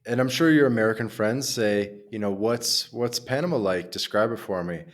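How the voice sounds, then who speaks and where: A man's voice: lowish voice, moderate pace. A man hosting a podcast, asking questions in a casual interview style. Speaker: podcast host.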